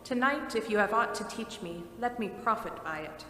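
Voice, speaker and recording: A woman's voice: medium pitch, animated, normal speed, a bit bright, lightly acted. A woman voicing a humbled old male miser, speaking in earnest, formal pleading, recorded in an echoey hall. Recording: echoey hall, faint noise.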